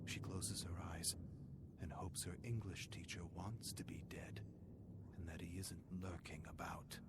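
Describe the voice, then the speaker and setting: A man's voice: low voice, fairly even intonation, a little slow, heavy background noise. A man reading scripted fiction as a narrator, with a dramatic storytelling delivery.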